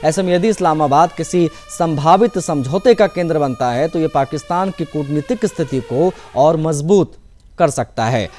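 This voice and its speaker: A male news presenter with a slightly high voice reads scripted news narration. His delivery is formal and emphatic, with music playing faintly behind him. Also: normal speed, warm tone.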